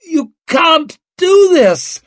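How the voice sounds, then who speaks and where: A man's voice: very high voice, sing-song, extremely slow, a bit bright, no room sound, voice pushed up, heavily acted. A man voicing a panicking, entitled young nobleman in an audiobook, screeching and wailing. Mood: desperate wailing.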